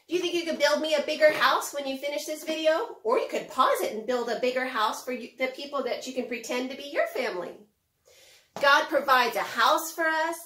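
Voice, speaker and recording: A middle-aged woman presenting a preschool lesson to young children in an animated way, with simple phrasing and frequent questions to the listener. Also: high-pitched. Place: indoors, home kitchen. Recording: roomy, echoey home kitchen.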